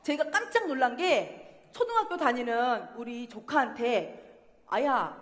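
A woman's voice: fairly high pitch, animated, a little slow, fairly bright tone. A woman in her thirties with a Jeolla accent, a pansori singer leading a playful lesson in a university hall, laughing often and coaching the audience. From Jeolla, Korea. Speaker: pansori singer teaching a class. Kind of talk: lively workshop lesson with sung demonstrations. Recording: long hall reverb from a concert hall.

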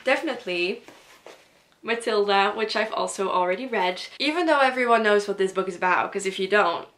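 A woman's voice: medium pitch, a little fast, fairly bright tone. Young woman, from Belgium, Dutch native, enthusiastic book chat, home room, desk camera. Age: young adult. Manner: enthusiastic, gushing with repeated praise. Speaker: book vlogger. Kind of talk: casual chat and reading aloud. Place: small room at home, indoors.

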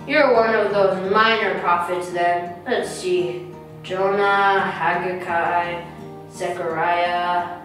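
A woman's voice: lowish voice, fairly even intonation, very slow, fairly bright tone. A woman voicing a hungry, curious young boy puppet in a children's puppet show, with exaggerated singsong exclamations. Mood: curious, excited. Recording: echoey room, distant mic, music behind.

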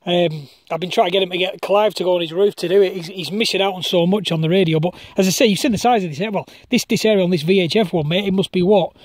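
A Northern English man with a high-pitched voice chats casually on ham radio. His delivery is colloquial and talkative, and the sound is very dry, with no room echo. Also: quick pace, few pauses. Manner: chatty, colloquial. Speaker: amateur radio operator. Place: outdoors on a hilltop common.

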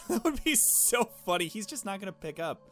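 A man's voice: sits high, sing-song, normal speed, a bit bright, faint music behind. A man giving casual, playful commentary on a game, with joking asides and bits of dialogue read aloud. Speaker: let's player.

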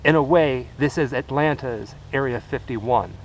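A young man casually talking to a handheld camera while exploring woods and dark concrete ruins, swearing now and then. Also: medium pitch, a little slow. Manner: chatty, swears when startled. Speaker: urban explorer vlogger. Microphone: handheld action camera. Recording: noisy outdoor camera audio.

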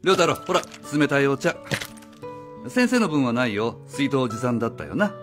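A man's voice: mid-range voice, big pitch swings, moderate pace, music in the background, natural voice. An actor playing a brash, good-hearted meddling man in a radio drama, casual, pushy and given to theatrical lecturing. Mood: breezy. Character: brash, meddling, good-hearted middle-class stranger uncle. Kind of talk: Japanese radio drama acting.

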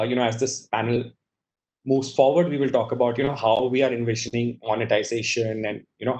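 A man's voice: mid-range voice, a little mellow, rough recording. A middle-aged man speaking as a media executive on a video-call panel, conversational with frequent "you know". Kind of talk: casual panel discussion. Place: office room with world map on wall. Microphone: video call webcam.

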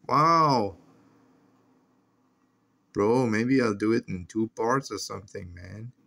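A man's voice: fairly low pitch, slow pace, creaky, fairly bright tone. A man giving casual, slangy, exclaiming commentary as he films a shortwave radio scan on a handheld phone, easily amused. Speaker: radio hobbyist filming a scan. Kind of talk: casual excited commentary to camera. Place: indoors at a desk. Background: shortwave radio playing.

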